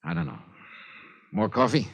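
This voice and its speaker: An American actor playing a hard-boiled, world-weary insurance investigator in a 1950s radio detective drama, clipped, wry and matter-of-fact. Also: male voice, fairly low pitch, animated, moderate pace, dull audio. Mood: cool, businesslike. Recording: vintage radio drama with music underneath.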